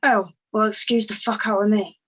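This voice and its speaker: A woman's voice: medium pitch, steady tone, normal speed, a bit bright, slightly rough. An actress playing an exasperated, sarcastic, foul-mouthed woman in a screenplay table read. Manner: sarcastic, cutting.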